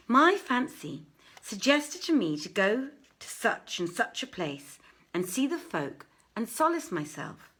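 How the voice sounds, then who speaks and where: A woman reading an old literary tale aloud as a storyteller, with expressive, measured phrasing. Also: fairly low pitch. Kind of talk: dramatic live literary reading.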